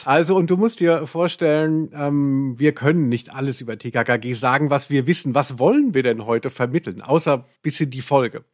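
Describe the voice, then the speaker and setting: A man co-hosting a podcast in a casual, excitable, tongue-in-cheek way. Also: mid-range voice, fairly quick, hardly any pauses. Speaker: podcast co-host. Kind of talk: casual chat about audio dramas.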